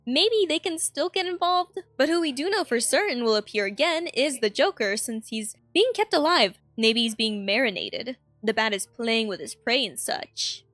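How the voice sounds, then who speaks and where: A woman's voice: a little high, fairly bright tone, dry recording. A woman hosting a video analysis, narrating a script in a casual, conversational way with dry joking asides to viewers. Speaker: host.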